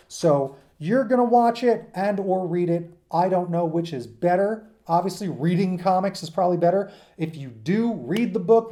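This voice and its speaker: A man's voice: high voice, dark tone. A man giving an informal lecture to students in an enthusiastic, emphatic, rambling conversational way, as their teacher.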